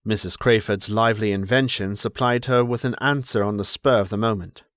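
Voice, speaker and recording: A man narrating an audiobook as a measured, dramatic storyteller reading scripted literary prose. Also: on the low side, steady tone, a bit bright, dry recording, clean studio sound.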